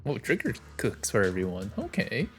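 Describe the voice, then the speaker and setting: Male game streamer, slightly deep voice, reading on-screen story aloud, soft music behind. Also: wildly swooping pitch, normal speed.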